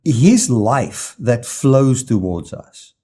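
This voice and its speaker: A man's voice: mid-range voice, very animated, extremely slow, dark tone, breathy edge. A middle-aged man with a South African accent, preaching informally in a reflective, conversational way with rhetorical questions and personal stories. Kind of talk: informal sermon with personal anecdotes.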